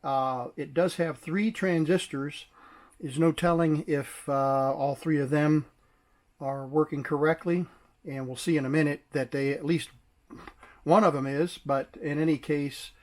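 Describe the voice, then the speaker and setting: A man casually demonstrating and explaining a vintage device, unhurried and pausing to search for his words. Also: on the high side, steady tone, a little mellow. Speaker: vintage tech collector presenting a device.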